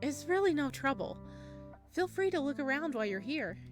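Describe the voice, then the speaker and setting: A woman's voice: high-pitched, very animated, normal speed, soft background music. A woman voicing a shy, kind young male flower shop clerk from a visual novel, polite and eager to help. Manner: polite, helpful, eager about plants.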